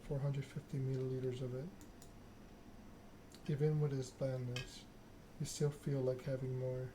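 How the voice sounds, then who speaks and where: A man reads narrative game text aloud as ASMR. His delivery is flat and deliberate, broken into short phrases. Clear background noise and clicking sit behind the voice. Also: medium pitch. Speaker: video game streamer reading a story game aloud.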